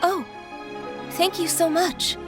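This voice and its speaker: A woman's voice: very high-pitched, fairly even intonation, takes it slowly, loud music behind the voice. A woman playing an earnest, polite townswoman in a video game, speaking in a formal, careful way. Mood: grateful. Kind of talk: video game character acting.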